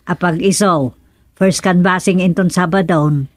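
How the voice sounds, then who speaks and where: A woman's voice: lowish voice, fairly even intonation, a little slow, no echo, clean studio sound. A woman playing an inquisitive village woman in a comic radio serial, conversational and questioning. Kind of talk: comedic radio serial acting.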